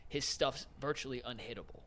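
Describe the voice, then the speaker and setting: A man's voice: mid-range voice, a little fast, fairly bright tone. A man on a sports show panel, putting questions to a guest in casual talk.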